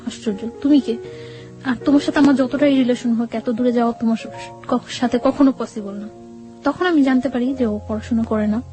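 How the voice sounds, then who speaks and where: A woman with a high voice recounts her own experiences as an interview guest. She speaks conversationally and haltingly, slipping in quoted dialogue, over faint background music. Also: fairly even intonation, mellow, rough recording. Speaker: guest telling her life story. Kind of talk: personal storytelling in an interview.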